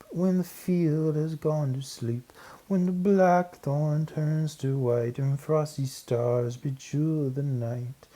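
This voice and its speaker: A man's voice: a little high, warm tone, voice pushed up. Man playing an earnest, declamatory elder mouse. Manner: formal, declamatory. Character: male elder mouse, earnest leader addressing his community.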